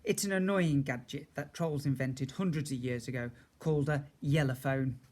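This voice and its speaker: A man's voice: medium pitch, normal speed, darkish tone. A man reading a children's story aloud as a teacher would, expressively, with chatty asides to his young listeners.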